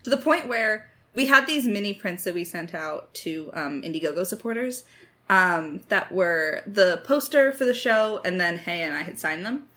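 A woman's voice: fairly low pitch, lively intonation, moderate pace, pushed and bright. A woman co-hosting a podcast Q&A, talking in a chatty, informal, joking way. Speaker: podcast co-host and audio drama creator. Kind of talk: casual Q&A chat.

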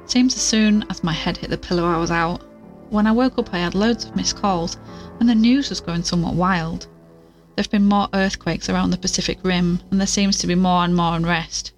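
A woman's voice: fairly low pitch, fairly quick, faint music behind, close to an everyday voice. A woman playing an anxious, weary investigator who speaks casually and hesitantly into an audio diary in an audio drama. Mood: weary, uneasy.

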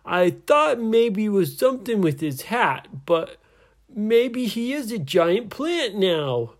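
A high-pitched, dim-witted pirate as a man storyteller puts him on pleads in a panicky wail. The words come drawn out and hesitant, in a broad children's-story style. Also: very animated, heavily acted. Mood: dopey, strained. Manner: wailing, drawn-out cries with dopey hesitations.

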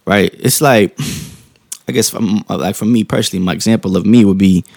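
Low, gravelly, soft-spoken man, calm and close. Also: no room sound. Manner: calm, informal slang, halting rephrasing with frequent tag questions. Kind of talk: casual reflective monologue.

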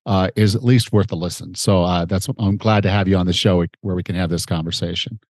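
A man's voice: low voice, steady tone, brisk, darkish tone, no room sound, studio quality. A middle-aged man with a slightly nasal, stuffed-up voice hosting a conversational podcast. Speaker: podcast host and fitness coach.

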